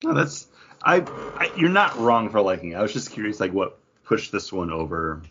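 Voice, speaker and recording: A man's voice: lowish voice, sing-song, moderate pace, a bit bright, a little hiss or hum. A man hosting a podcast in a casual, conversational way. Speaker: podcast host. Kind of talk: casual chat. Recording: dull lo-fi audio.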